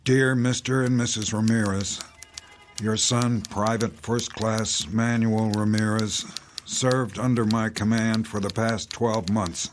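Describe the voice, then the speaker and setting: An actor playing a weary, conscientious army captain in a radio drama, halting and formal. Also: male voice, on the low side, flat delivery. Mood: formal, grieving. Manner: halting, heavy-hearted, formal. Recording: music bed under the voice.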